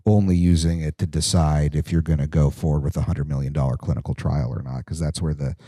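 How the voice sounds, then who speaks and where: A man co-hosting a live podcast panel, explaining in a relaxed, casual way. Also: rumbling low, fast, no room sound, clean studio sound. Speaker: podcast co-host, industry physician-scientist.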